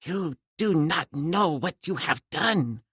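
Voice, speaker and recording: A man's voice: a little high, lively intonation, slow pace, a bit bright, husky, dry recording, studio quality, voice pushed up, heavily acted. A man reading an audiobook, voicing a fussy, proud elderly professor who talks formally and keeps saying sir. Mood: agitated. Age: older. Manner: formal, indignant, repeats "sir". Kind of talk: audiobook character voice.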